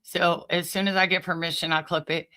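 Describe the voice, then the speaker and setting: Female vlogger, bright forward voice, informal and conversational, talking straight to the audience as host. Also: lowish voice, little pitch movement, fairly quick. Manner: informal, conversational, addresses listeners directly. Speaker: host of a commentary channel. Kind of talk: casual chatty commentary to viewers.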